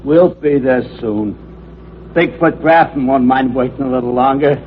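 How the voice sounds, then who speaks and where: An actor playing a put-upon, sarcastic police sergeant with mock-formal delivery in an old-time radio crime drama. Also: male voice, medium pitch, moderate pace, close to an everyday voice. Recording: muffled old radio recording, faint noise.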